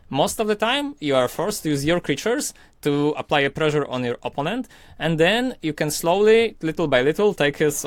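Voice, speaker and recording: Methodical coach, dry close sound. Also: male voice, a little high, no room sound. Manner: methodical, hesitant with many fillers. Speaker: card game coach. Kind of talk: explaining game strategy.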